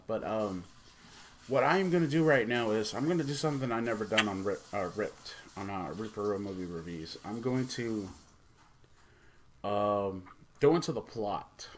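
Halting low-voiced man reviewing casually. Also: animated, slight background noise. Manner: halting, stumbles over words. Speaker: host of a movie review show. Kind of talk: casual off-the-cuff review commentary.

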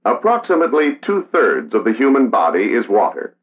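An American man giving measured, solemn, scripted narration in the style of a 1960s training documentary. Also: medium pitch, wildly swooping pitch. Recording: dull vintage film soundtrack. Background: music.

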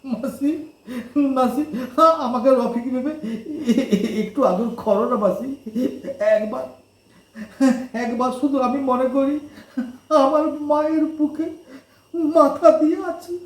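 An actor playing an affectionate, motherless poor youngster in an emotional audio drama, coaxing and pleading in halting, repeated phrases. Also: sits high, steady tone, a little mellow, roomy sound. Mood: tearful pleading.

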